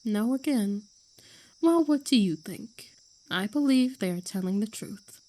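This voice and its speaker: A woman playing a calm, hypnotic creature who helps her friend, speaking slowly and soothingly in an ASMR roleplay. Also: fairly high pitch. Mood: hypnotic, commanding. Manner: slow, deliberate, soothing.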